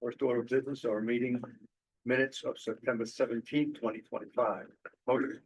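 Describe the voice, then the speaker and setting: A man chairing a formal board meeting, calling motions and votes in a brisk, procedural way. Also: mid-range voice, flat delivery, a little hiss or hum, rough recording.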